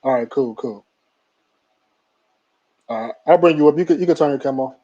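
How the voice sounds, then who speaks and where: A man hosting a live stream, bringing callers on with brisk, relaxed slang. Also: medium pitch, muffled recording.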